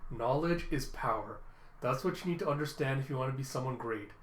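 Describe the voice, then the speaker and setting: A man voicing a proud, excitable young secret policeman and tutor from a cartoon, condescending and theatrical in manner. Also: mid-range voice, brisk, slight background noise, lightly acted. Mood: earnest, lecturing. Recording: roomy, echoing room sound.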